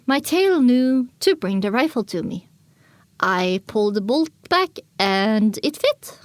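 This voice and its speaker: A woman's voice: a little high, dry recording. A woman narrating a dramatic audiobook in the first person as a young filly pony, reflective and scripted. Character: young filly pony recounting her wasteland journey.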